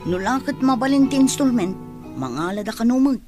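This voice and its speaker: An actress plays a wife who looks after her own interests. Her voice is on the high side, with an Ilocano accent. Soft music sits behind the radio drama dialogue. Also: animated, fairly quick, natural voice. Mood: scheming.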